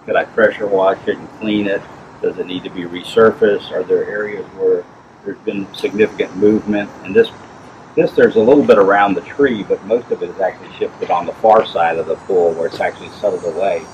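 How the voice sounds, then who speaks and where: A middle-aged man, a pool inspector, explains repairs in a plain, measured way with frequent fillers, outdoors by a backyard pool. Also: sits low, dull audio, noisy background. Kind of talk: on-site explanation to camera.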